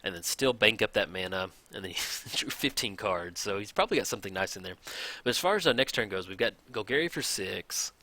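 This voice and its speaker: A man casually commenting on a card game match, thinking aloud, his voice a little raspy from hours of recording. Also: lowish voice, animated, brisk, dry recording. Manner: chatty, thinks aloud, counts out loud. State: slightly raspy from long recording. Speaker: gameplay commentator.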